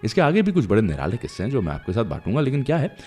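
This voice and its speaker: A man hosting a radio music show, telling stories in a chatty, conversational way with dramatic pauses and emphasis. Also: mid-range voice, wildly swooping pitch, a little mellow.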